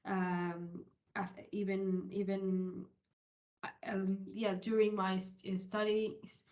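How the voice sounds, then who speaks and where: A woman's voice: medium pitch, level tone, slow, darkish tone, dull audio. A woman of about thirty-one from Uruguay with a Uruguayan Spanish accent, giving an informal online presentation in a hesitant, explanatory way. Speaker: presenter, HR technology consultant. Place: online video call session.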